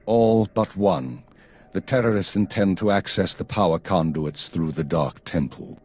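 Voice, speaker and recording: An actor playing a stern, controlled spymaster in a video game, formal and authoritative, with a husky voice. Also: male voice, deep voice, a little slow, a little mellow. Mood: brisk, authoritative. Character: stern, controlled male intelligence chief.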